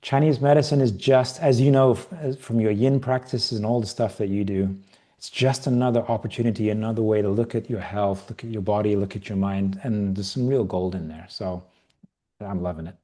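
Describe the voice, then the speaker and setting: A middle-aged man from Britain who grew up partly in Asia, talking casually as an interview guest in a reflective, halting way. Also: fairly low pitch, fairly even intonation, mellow. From Britain, raised partly in Asia. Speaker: podcast guest, yoga and qigong teacher trainer.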